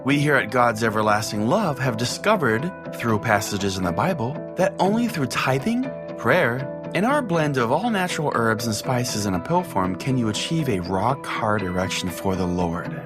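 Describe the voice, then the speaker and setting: A man's voice: medium pitch, very animated, moderate pace, hardly any pauses, music in the background, natural voice. Comedy radio pastor host, male actor: crude, earnest, folksy, swinging intonation, mid-range voice. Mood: earnest pitchman. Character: small-town radio pastor host, crude, bigoted, oblivious. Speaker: radio call-in show host. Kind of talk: comedic mock-radio sermon and host banter.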